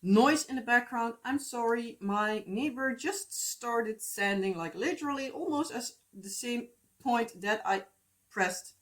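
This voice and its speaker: A woman's voice: a little high, measured pace, a bit bright. Dutch lady rambling about her craft project, lots of uh and like, recorded on her phone at home. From Netherlands. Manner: chatty, meandering, many fillers and asides. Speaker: crafting vlogger. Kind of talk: casual rambling chat.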